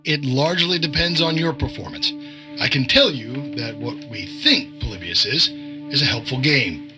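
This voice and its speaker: A mid-pitched, domineering conspiracy agent played by a man in an audio drama. He is measured, evasive and commanding, with clear music behind him. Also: lightly acted. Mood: cryptic, smug. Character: cryptic, domineering male agent of a shadowy conspiracy.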